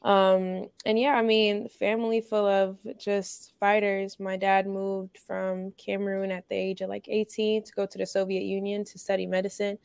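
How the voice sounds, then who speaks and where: A young woman from Finland, a college basketball guest, answering interview questions casually with many hesitations and soft laughs. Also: medium pitch, little pitch movement, normal speed, vocal fry, hardly any pauses, clear, resonant tone, dry recording. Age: young adult.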